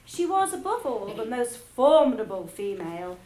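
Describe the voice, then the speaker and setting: Teacher lady reading a storybook to kids, expressive, echoey classroom. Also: on the high side, normal speed, slight background noise. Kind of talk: reading a novel aloud to children. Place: school room. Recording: echoey room with faint ambience.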